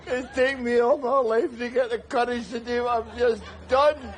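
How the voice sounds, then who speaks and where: An actor playing a sardonic, rambling middle-aged down-and-out man, who breaks into shouts. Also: sits high, big pitch swings, measured pace, a little hiss or hum, heavily acted. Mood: frantic. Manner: wry, rambling, bursts into shouts. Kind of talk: screen drama acting.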